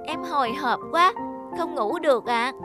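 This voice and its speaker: A woman's voice: sits high, measured pace. A woman voicing a small, eager, anxious child in a cartoon, speaking politely and in a childlike way. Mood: anxious, earnest. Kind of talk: cartoon dubbing. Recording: music under the voice.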